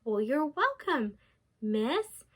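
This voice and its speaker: A brave woodsman from a storybook who talks plainly and politely, played by a woman. The voice is very high and bright and unhurried. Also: big pitch swings, exaggerated voice. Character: brave, matter-of-fact male woodsman.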